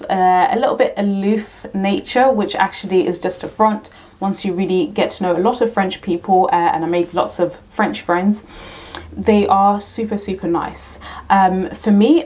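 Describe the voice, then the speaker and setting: A woman's voice: on the low side, a little mellow. A young woman from Kenya, a teacher, casually answering questions to camera, repeating "really, really" and "to be honest" often. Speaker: teacher and YouTube tutor.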